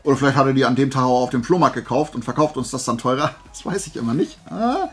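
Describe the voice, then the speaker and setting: A man's voice: mid-range voice, lively intonation, fast, breathy edge, soft background music. A man chatting casually and playfully to camera as a vlogger, rambling through asides. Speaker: YouTuber showing flea market finds.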